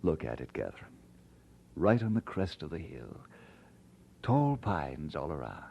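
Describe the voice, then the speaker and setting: An actor playing an earnest, playful and devoted young Scottish preacher in an audio drama, speaking expressively. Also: male voice, extremely low pitch, very animated, measured pace, vocal fry, a little mellow, dull audio, a little hiss or hum, exaggerated voice. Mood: enthusiastic. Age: young adult.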